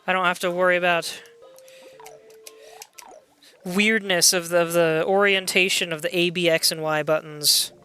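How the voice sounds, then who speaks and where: A young adult game streamer with a loud voice, chatting casually and excitably while playing and greeting viewers. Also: mid-range voice, moderate pace, pauses often, soft background music. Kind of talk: casual live gameplay chat.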